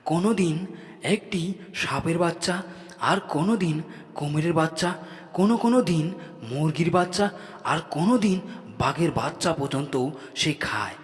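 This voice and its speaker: A man's voice: a little high, animated, moderate pace, long pauses. A man narrating a cartoon animal story in a dramatic storybook style. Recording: long hall reverb.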